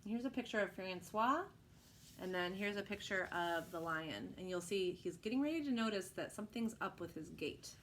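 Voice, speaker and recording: A woman reading a children's picture book aloud with expressive narration. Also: mid-range voice, normal speed, slight background noise.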